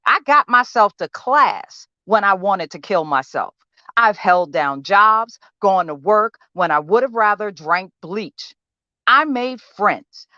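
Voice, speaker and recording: A woman's voice: on the high side, sharp tone, dry recording, lo-fi sound, exaggerated voice. A woman playing a desperate, depressed woman confronting her therapist in a pointed, emphatic dramatic audition monologue.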